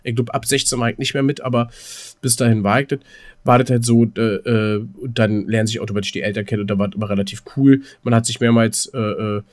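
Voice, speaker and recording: Halting, jokey man chatting casually. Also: mid-range voice, steady tone. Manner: halting, stammering, repeats words and phrases. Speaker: podcast co-host. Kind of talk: casual rambling podcast chat.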